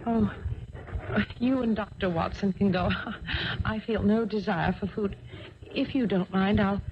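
An actress playing an anxious, genteel young heiress, earnest and formal, in 1970s radio drama style. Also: female voice, mid-range voice, steady tone, measured pace, close to an everyday voice. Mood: sombre, earnest. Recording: muffled, noisy 1970s radio recording.

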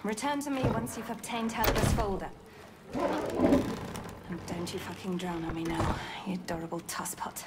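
An actress playing a wry, foul-mouthed British resistance agent posing as a nurse in a video game, brisk, dry and cheeky. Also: female voice, mid-range voice, fairly even intonation, very noisy, natural voice. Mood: brisk, affectionate. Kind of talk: video game cutscene acting.

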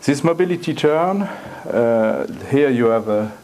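Middle-aged guy, french accent, lecturing slow and halting, keeps restarting words, plain mid voice. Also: mid-range voice, lively intonation, darkish tone, slight background noise. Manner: halting, repeats words while searching for phrasing. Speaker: guest lecturer. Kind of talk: academic lecture. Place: university lecture room, indoors.

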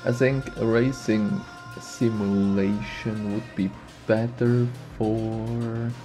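A man's voice: fairly low pitch, flat delivery, slow pace, mellow, faint music behind. A man with a slight sniffly cold, casually commenting on a game he plays and thinking aloud as he goes. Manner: thinks aloud, muses and repeats himself. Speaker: let's play host.

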